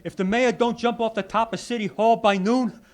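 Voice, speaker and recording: High-pitched, exasperated police sergeant. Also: male voice, fairly even intonation, moderate pace. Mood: urgent, flustered. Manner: exasperated, slangy tough-cop talk. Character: agitated, dim, gruff-talking male police sergeant. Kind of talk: old-time radio detective drama acting.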